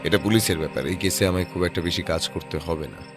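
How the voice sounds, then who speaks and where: A low-pitched male detective played by an actor in an audio drama, composed and analytical. He reasons aloud in a measured manner, with music underneath. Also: lightly acted. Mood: thoughtful.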